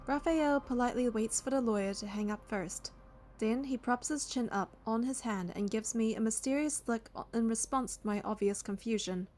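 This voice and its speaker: A woman with a fairly high voice reads the story of a video game aloud. She moves between narration and casual commentary, with light music playing behind her. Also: moderate pace, darkish tone. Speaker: game playthrough creator reading story text aloud.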